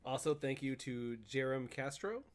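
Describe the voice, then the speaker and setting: A man's voice: medium pitch, lively intonation, normal speed. A man co-hosting a podcast in casual chat, playful and teasing. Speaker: podcast co-host.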